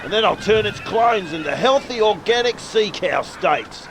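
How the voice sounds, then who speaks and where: A woman's voice: mid-range voice, lively intonation, moderate pace, background noise, close to an everyday voice. A woman playing a gloating, greedy villainous general manager in a melodramatic radio play, boastful and scheming.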